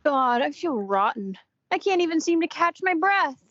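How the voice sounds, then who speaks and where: A sickly, breathless radio actress played by a woman. The high voice swings a lot, on a muffled recording with faint noise. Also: very animated, moderate pace, a bit bright, no echo. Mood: miserable.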